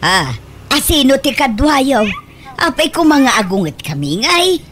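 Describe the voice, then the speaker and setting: A woman playing an excitable, doting village mother in a radio comedy, with an exclamatory, giggly, gushing delivery. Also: sits high, unhurried, slight background noise, exaggerated voice. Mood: excited, delighted. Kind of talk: comedy radio drama acting.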